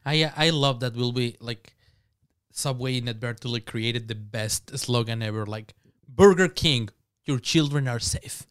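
A man's voice: medium pitch, measured pace, clean tone. A man with a Mexican Spanish accent, Spanish his first language, chatting casually as a podcast guest, laughing often and swearing.

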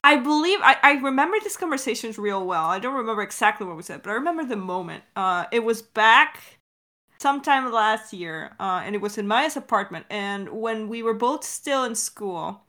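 A young adult woman co-hosting a creator Q&A over an online call, chatting in an informal, joking, animated way. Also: a little high, normal speed. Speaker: podcast creator, co-host of a Q&A. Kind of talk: casual chat. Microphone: recorded over Discord call.

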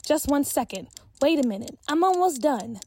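Breezy chatty schoolgirl played by a woman, bright and high, swooping. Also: lively intonation, slight background noise. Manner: chatty, breezy, quick to brush off. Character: bubbly self-absorbed schoolgirl friend.

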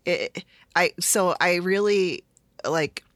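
A woman's voice: lowish voice, slow pace, a bit bright, no echo. A woman hosting a casual podcast, chatty and full of fillers. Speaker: podcast host.

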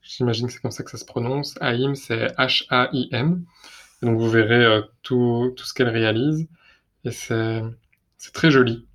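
A man's voice: medium pitch, fairly even intonation, normal speed, fairly bright tone. A man co-hosting a podcast, talking casually with many fillers and repeated words. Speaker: podcast co-host. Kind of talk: casual chat.

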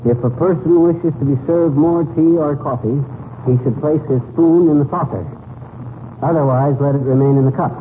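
An actor playing a bumbling American husband putting on pompous, mock-genteel airs with British flourishes, in 1940s radio comedy style. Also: male voice, fairly high pitch, moderate pace, natural voice. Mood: self-satisfied. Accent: American, with affected British flourishes. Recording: noisy, tinny 1940s radio recording.

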